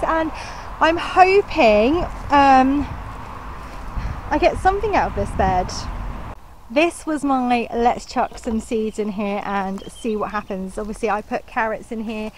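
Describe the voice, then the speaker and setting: High-voiced southern English woman, chatty. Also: lively intonation, measured pace, rough recording. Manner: informal and enthusiastic. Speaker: gardening vlogger. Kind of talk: casual chatty vlog. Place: outdoors on an allotment, partly in a shed. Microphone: handheld camera at arm's length. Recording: clear outdoor background noise.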